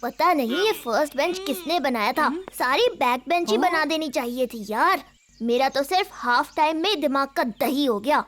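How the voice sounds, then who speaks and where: High-pitched cheeky cartoon schoolboy. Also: lively intonation, moderate pace, a bit bright, a little hiss or hum. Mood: grumbling, dramatic. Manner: playful, dramatic, wisecracking. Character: cheeky, scheming lazy schoolboy in a comic kids' cartoon. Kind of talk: exaggerated cartoon voice acting. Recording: music behind the voice.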